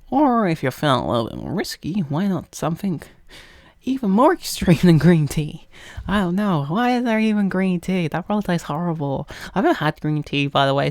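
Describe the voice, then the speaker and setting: A young British man doing a playful, coaxing ASMR roleplay in casual instructional chat, often slipping into a breathy whisper. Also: high voice, a little mellow, dry recording.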